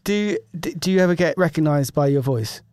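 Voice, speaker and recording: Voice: mid-range voice, animated, a little fast, darkish tone, clear, resonant tone, no echo. Podcast host, chatty and giggly, stammers into questions, dry close sound. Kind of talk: casual chat. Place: podcast room.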